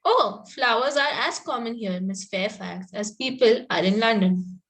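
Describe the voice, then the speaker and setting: A woman's voice: a little high, animated, a little slow, fairly bright tone. A woman reading the part of a sweetly polite but spirited young country girl in a Victorian stage comedy, pointed in manner and stumbling a little over lines. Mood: barbed sweetness. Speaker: table-read participant.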